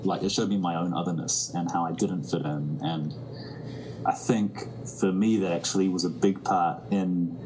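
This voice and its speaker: A musician from New Zealand, a man, speaking reflectively in an interview. He often restarts sentences and fills pauses. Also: low voice, steady tone, background noise. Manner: thoughtful, many restarts and fillers. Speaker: musician guest.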